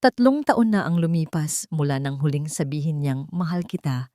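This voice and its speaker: A woman narrates a romantic drama from a script. Her voice sits low, and the sound is dry, with very little room in it. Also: animated, moderate pace, mellow, no echo. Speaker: story narrator.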